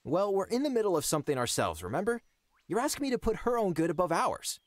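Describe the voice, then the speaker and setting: Wry young lord, animated delivery. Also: male voice, sits high, quick pace, dry recording. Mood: exasperated. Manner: wry, incredulous. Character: young male lord, wry, exasperated, earnest. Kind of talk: fantasy game character acting.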